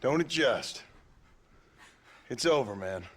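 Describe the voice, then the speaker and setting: A man playing a gruff, stubborn ex-outlaw cowboy, blunt and terse, in a video game cutscene. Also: medium pitch, animated, takes it slowly. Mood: stern.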